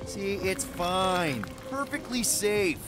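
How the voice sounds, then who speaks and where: A man's voice: high-pitched, animated, slow, over music. A man playing a cocky, upbeat young space adventurer in a video game, with a breezy, joking manner. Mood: casual bravado.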